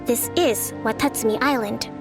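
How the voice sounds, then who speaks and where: Woman as a high-voiced, composed young island leader. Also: over music, close to an everyday voice. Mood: calm, firm. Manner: soothing, diplomatic, measured. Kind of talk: anime game voice acting.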